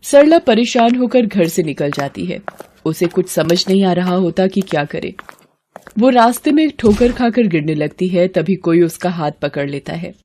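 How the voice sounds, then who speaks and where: A woman's voice: lowish voice, lively intonation, moderate pace, warm tone, slight background noise. A woman storyteller reading scripted narration for a moral tale.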